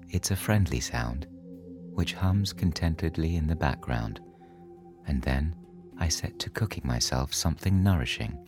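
A man narrating a scripted bedtime story in a soothing, measured manner. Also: very deep voice.

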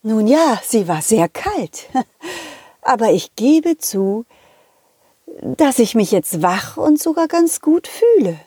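A sour lemon schoolteacher acted out by a woman for a children's audio play. She talks slowly and swings widely in pitch, scolding and hesitant by turns. She sounds easily flustered, close and dry. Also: sing-song, mellow, husky, no room sound, full character voice. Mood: sheepish, unsure.

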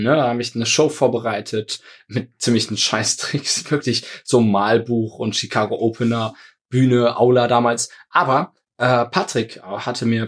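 A man telling a story in a relaxed podcast chat, laughing often. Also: mid-range voice. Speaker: podcast co-host, magician.

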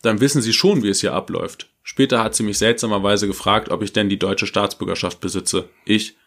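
A man with a fairly low voice co-hosts a casual talk podcast. He speaks bluntly and informally, addressing listeners directly. When he reads listeners' stories aloud, he keeps a steady, even delivery. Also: fairly quick, gravelly. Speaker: podcast co-host.

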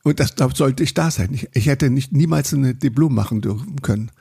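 An older man, his voice gravelly and husky. He tells his memories in a rambling way, breaking off and restarting sentences as he goes. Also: medium pitch, a little fast, warm tone. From Saxony, Germany. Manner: rambling, self-interrupting storyteller with many restarts. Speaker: interviewee, photographer. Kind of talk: recollecting in a conversational interview.

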